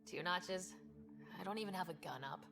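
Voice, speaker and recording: An actress playing a young human space explorer in a video game, direct and earnest with a wry streak. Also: female voice, fairly low pitch, moderate pace, vocal fry, fairly bright tone. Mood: wry, exasperated. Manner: direct, plain-spoken, persuasive. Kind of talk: video game cutscene acting.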